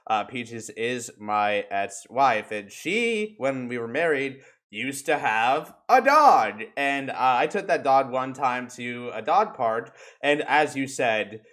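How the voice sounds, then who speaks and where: A man hosting a podcast in a casual, joking way, crude and quick to swear. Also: medium pitch, very animated, a bit bright. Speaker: podcast host.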